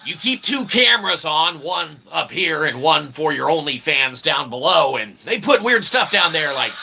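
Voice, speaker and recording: Male channel host, slightly high but gravelly, mock-pompous comic philosopher, wordy and theatrical, noisy amateur recording. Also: very animated, moderate pace, bright, forceful tone. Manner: mock-pompous, wordy, repeatedly ending phrases with "okay". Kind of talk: rambling philosophical comedy monologue.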